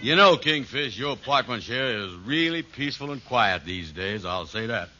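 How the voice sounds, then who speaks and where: A man playing an easygoing, slow-witted pal in a 1940s radio sitcom, talking in a folksy comic dialect. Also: medium pitch, expressive, over loud music, lightly acted. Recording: dull, hissy old radio recording.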